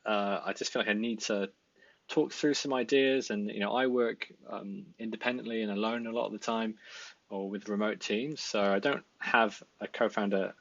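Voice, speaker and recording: A Welsh man in his thirties rambles through a casual self-introduction, full of ums and restarts. His voice sits fairly low. The sound is dry and a little dull, like a headset mic at a desk. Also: steady tone, moderate pace, a bit bright, no room sound. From Wales. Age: 30s. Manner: rambling, many fillers and restarts. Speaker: designer and part-time founder. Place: indoor home room at a desk.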